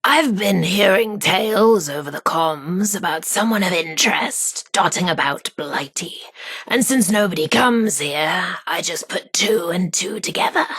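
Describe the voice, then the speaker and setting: An actress with a husky, breathy voice, playing a shy but sassy, lovestruck radio DJ in a video game. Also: female voice, lowish voice, expressive, measured pace, a bit bright, no room sound, full character voice. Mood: playful. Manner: informal, slangy, hesitant about feelings. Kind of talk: video game character dialogue.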